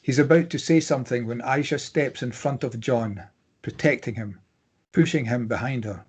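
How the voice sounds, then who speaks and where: A man's voice: mid-range voice, moderate pace, darkish tone, breathy edge. A man reading out screenplay stage directions as scripted narration.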